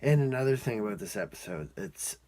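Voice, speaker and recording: A man's voice: on the low side, animated, fairly quick, dark tone. A man casually reviewing and recapping a cartoon episode in a rambling, informal, amused way.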